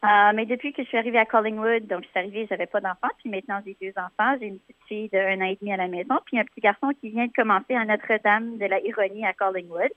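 A woman's voice: medium pitch, fairly even intonation, fast, few pauses, no room sound. A woman in her thirties from Northern Ontario with a Franco-Ontarian French accent, chatting casually as an interview guest and explaining things conversationally. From Northern Ontario, Canada. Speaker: interview guest, community organizer. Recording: muffled, thin phone line.

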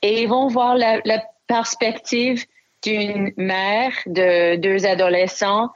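A woman's voice: mid-range voice, fairly even intonation, slow pace. A woman comedian from Ontario, an English Canadian speaker talking frankly in French and dropping English fillers, in an interview. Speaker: stand-up comedian. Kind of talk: interview answers. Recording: dull lo-fi recording.

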